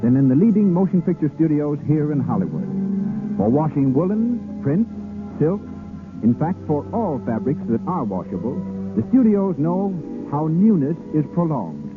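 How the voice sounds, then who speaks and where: A man announcing a 1930s radio show in a formal, declamatory style, reading out introductions and sponsor copy. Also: fairly high pitch. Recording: tinny 1930s radio broadcast recording. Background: loud music.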